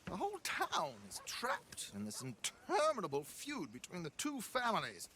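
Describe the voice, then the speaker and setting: A man's voice: high-pitched, wildly swooping pitch, moderate pace, sharp tone, husky, heavily acted. A man playing a slippery, ingratiating con man in a video game, speaking in a florid, theatrical manner. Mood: gossipy, wry. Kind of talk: video game cutscene acting.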